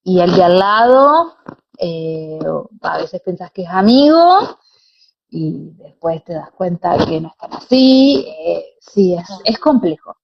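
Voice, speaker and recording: A woman's voice: fairly low pitch, very animated, slow pace, gravelly, husky. Argentine woman, dull lo-fi audio. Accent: Argentine Spanish. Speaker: interview guest, pilates teacher and former dancer. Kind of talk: conversational interview answers. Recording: dull, lo-fi online call audio.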